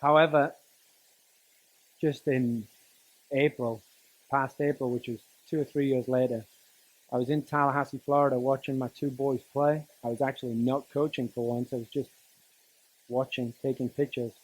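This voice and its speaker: Middle aged guy, giving a personal motivational talk, kinda halting and chatty, dry humour. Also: mid-range voice, little pitch movement, low-quality recording. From Newcastle, northern England. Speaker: teacher and coach giving a speech to students.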